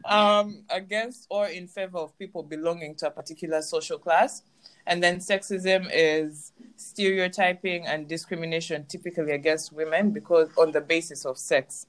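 A woman's voice: fairly low pitch, steady tone, moderate pace. Woman podcast host, casual chat, reads off notes, laughs a lot. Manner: reads definitions, laughs easily.

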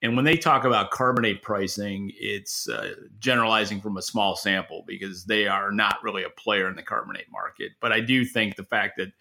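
A man's voice: on the low side, fairly even intonation, fairly quick, gravelly, hardly any pauses, sharp tone. A man from Western New York with an American accent, co-hosting a podcast in plainspoken, casual analysis. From Western New York, United States. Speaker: podcast co-host.

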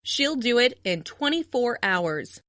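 A woman reading short phrases for language learners, repeating each one as a clear model. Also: on the high side, very animated, takes it slowly, a bit bright, dry recording, studio quality. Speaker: language lesson speaker.

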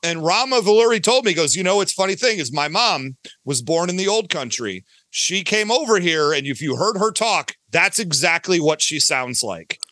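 A man's voice: sits high, animated, normal speed, hardly any pauses, pushed and bright, no room sound. A middle-aged man chatting casually as a podcast guest, rambling and emphatic in an enthusiastic, gushing way. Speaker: podcast guest, audio drama creator. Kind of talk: casual, rambling interview chat.

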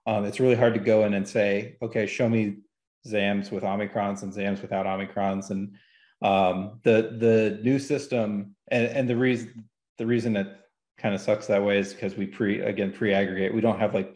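A man's voice: on the low side, steady tone, moderate pace, darkish tone, reverberant. A man talking casually and technically about gaming, explaining in an informal, hesitant way as a guest analyst.

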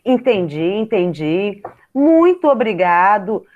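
A woman teacher hosting a class interview podcast, reading prepared questions politely and clearly. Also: mid-range voice, sing-song, slow, narrow, tinny audio. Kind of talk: scripted school podcast interview.

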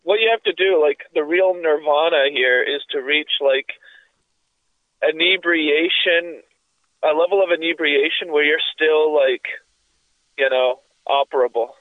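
A man's voice: a little high, unhurried, no room sound, phone-quality sound. A congested man with a cough and sore throat, chatting casually on a podcast in a rambling, profane way. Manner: rambling, profane, full of fillers. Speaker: podcast co-host. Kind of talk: casual chat.

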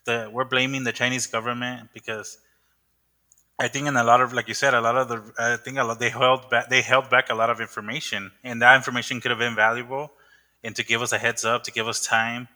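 A man's voice: medium pitch, level tone, fairly quick, pushed and bright. A young man hosting a casual podcast chat, rambling with many fillers and restarts. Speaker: podcast host.

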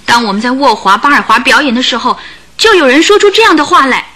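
An actress playing a bitter, anguished circus owner's wife in a Chinese radio drama, speaking with dramatic emphasis. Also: female voice, high voice, big pitch swings, fast, sharp tone, exaggerated voice. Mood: bitter, confessional.

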